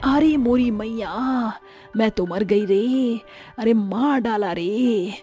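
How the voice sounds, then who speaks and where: A woman voicing a harsh middle-aged mother-in-law who curses and scolds her new daughter-in-law in a slow, drawn-out way, over faint music. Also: medium pitch, mellow, lightly acted. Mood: wailing. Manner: slow, drawn-out, cursing and accusing.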